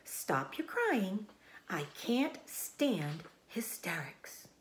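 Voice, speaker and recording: A woman voicing a composed, motherly female spider in a storybook, soft, thoughtful and briskly firm. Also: mid-range voice, very animated, slight background noise. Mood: brisk, scolding.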